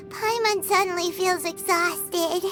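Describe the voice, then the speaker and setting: A woman voicing a tiny, childlike fairy companion in an anime game, with a squeaky, complaining delivery. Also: heavily acted. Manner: refers to self by name, drawn-out complaining. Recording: music behind the voice.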